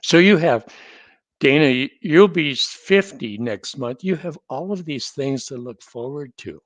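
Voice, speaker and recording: Older man in his 70s, guest, measured storyteller with dry humor, dry close sound. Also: on the high side, expressive, no room sound. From North Dakota. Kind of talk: casual conversation, storytelling.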